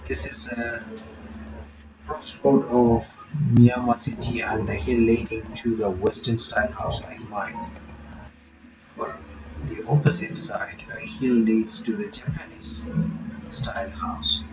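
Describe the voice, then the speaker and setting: A man reads a visual novel aloud and comments on it. He stumbles over some words and breaks off for quick asides. His voice sounds roomy, with clear game music playing behind. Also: medium pitch, fairly even intonation. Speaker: reaction channel host.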